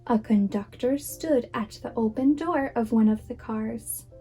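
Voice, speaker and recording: A woman reads a children's storybook aloud at a very unhurried pace. Her voice is mellow and somewhat high, with light music behind. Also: slightly rough. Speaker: guest storybook reader, preschool teacher. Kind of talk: children's picture book read-aloud.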